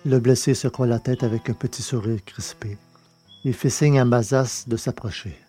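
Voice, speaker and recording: A man narrates a novel in a measured, deliberate way. His voice is mellow and dark-toned, set at an average pitch for a man. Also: fairly even intonation, soft background music. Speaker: audiobook reader. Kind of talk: scripted narration of a science-fiction novel.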